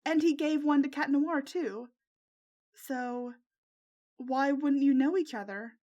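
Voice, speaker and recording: Lady doing high voiced teen girl bestie, excitable fangirl type, gushy, story narration. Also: slow pace. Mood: curious. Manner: gushing, squealing when excited. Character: excitable, loyal teenage girl, gushing superhero fangirl.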